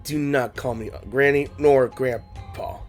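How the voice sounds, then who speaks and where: A man's voice: mid-range voice, fairly even intonation, takes it slowly. A man doing casual let's play commentary and reading along, with wry, joking asides. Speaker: gaming video creator. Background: game music.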